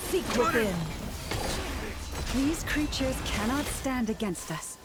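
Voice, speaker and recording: A woman voicing a gentle, devout young forest healer in a video game, speaking in a serene, formal and resolute way. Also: high voice, animated, takes it slowly. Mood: solemn, resolute. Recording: loud game music behind. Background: loud game music.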